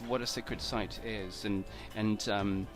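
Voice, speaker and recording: A man's voice: fairly low pitch, steady tone, slow, over music. A man from Portugal hosts a casual interview, speaking hesitantly, stumbling over his words and often laughing. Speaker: host.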